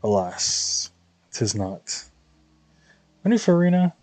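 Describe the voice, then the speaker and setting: A young man with a husky voice giving casual, slangy live commentary on a game, musing to himself. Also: on the low side, sing-song, slow, a little mellow. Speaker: game streamer.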